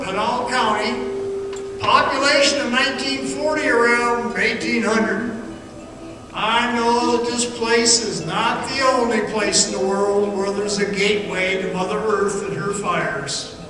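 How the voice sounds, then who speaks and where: Haunted, fervent male storyteller, radio drama, slow delivery, distant in a reverberant hall. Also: very high voice. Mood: insistent, fervent. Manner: slow, halting, intense storytelling. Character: awestruck man haunted by a fiery vision, fervently recounting it. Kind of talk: dramatic radio theatre monologue. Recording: echoey hall from afar, music underneath.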